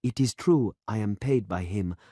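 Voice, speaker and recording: A man's voice: lowish voice, moderate pace, dark tone, slightly rough, no echo, clean studio sound. A man reading aloud and voicing an earnest, impatient young male farming demonstrator in an urgent, insistent manner.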